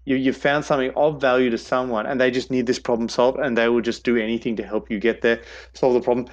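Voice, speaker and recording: A man's voice: medium pitch, flat delivery, quick pace. A man being interviewed on a podcast, talking enthusiastically and restarting words as he goes. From Australia. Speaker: guest, innovation expert. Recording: dull lo-fi sound.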